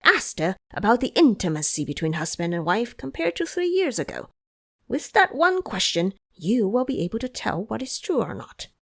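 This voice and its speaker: A woman voicing a cocky, quick-witted male monkey disciple in an audio drama, brash and persuasive. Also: fairly high pitch, big pitch swings, gravelly, heavily acted. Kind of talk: audio drama character acting.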